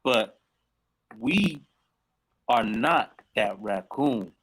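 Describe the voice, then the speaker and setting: A man's voice: mid-range voice, animated, drawn-out words, a bit bright, breathy edge. Laid-back man telling a story. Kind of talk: casual chat.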